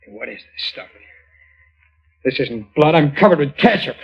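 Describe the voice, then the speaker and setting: Husky, groggy crook. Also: male voice, on the high side, animated, moderate pace, full character voice. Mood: dazed, baffled. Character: groggy crooked lover, bewildered and gruff. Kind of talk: 1950s radio detective acting. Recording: muffled old radio drama recording.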